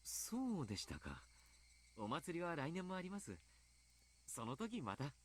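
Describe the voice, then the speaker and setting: An actor playing a jovial, kindly adult coach in a visual novel, polite, chatty and playfully teasing. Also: male voice, on the high side, wildly swooping pitch, a little fast. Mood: gently concerned. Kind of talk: Japanese visual novel voice acting.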